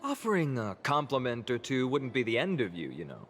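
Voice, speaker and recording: A cheeky, competitive young hero in a video game. His delivery is lively and teasing at a middling pitch. It carries a long reverb over faint music. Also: fairly quick. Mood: playful. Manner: teasing, casual. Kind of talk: scripted game voice acting.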